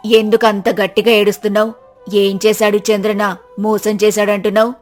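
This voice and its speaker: A woman's voice: mid-range voice, little pitch movement, moderate pace, darkish tone, soft background music, pitched below the performer's own voice, natural voice. A woman doing a prying concerned neighbor. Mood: questioning. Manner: probing, concerned.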